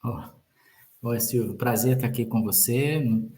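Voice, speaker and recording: A man's voice: medium pitch, normal speed, dark tone. Brazilian male guest, sales manager; playful, metaphor-heavy talker, mid-range voice. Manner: joking, fond of metaphors and sayings. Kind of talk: conversational interview.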